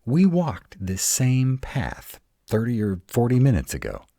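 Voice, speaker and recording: A man playing a gruff, protective, uptight male were-dragon in an intimate roleplay audio drama, halting and dramatic. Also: mid-range voice, wildly swooping pitch, warm tone, no room sound, clean studio sound, full character voice. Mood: agitated, incredulous. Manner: halting, dramatic, slow delivery with emphatic outbursts.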